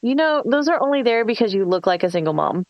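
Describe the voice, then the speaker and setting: A woman's voice: on the high side, sing-song, quick pace, muffled recording, a little hiss or hum. A woman acting a mean, bossy, scheming young woman in a horror script table read, with a sarcastic, entitled delivery. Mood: irritated, biting.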